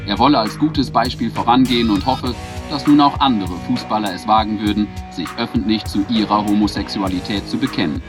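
An actor playing a sober radio news announcer, reading formal scripted news in a radio drama. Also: male voice, lowish voice, brisk, close to an everyday voice. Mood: neutral, formal. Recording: clear music under the voice.

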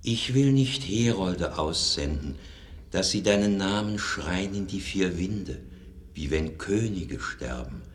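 An actor playing a solemn, lofty prologue speaker who declaims measured, mournful verse in a 1950s German radio drama. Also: male voice, low-pitched, a little hiss or hum, full character voice.

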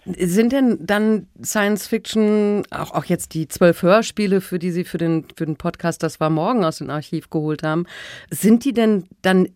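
A woman's voice: on the low side, moderate pace, a little mellow, no echo. Female radio interviewer, very dry sound.

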